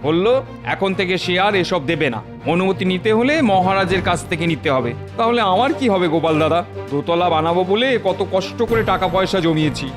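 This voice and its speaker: A man's voice: high voice, lively intonation, a little fast, over music. A man playing a dejected, deferential cartoon villager with a plaintive manner. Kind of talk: Bengali cartoon voice acting.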